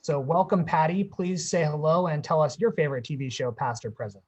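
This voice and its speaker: A man's voice: on the high side, fairly quick, a little mellow. A man from Pittsburgh guest-hosting a video panel talk, reading upbeat prepared introductions. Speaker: guest host.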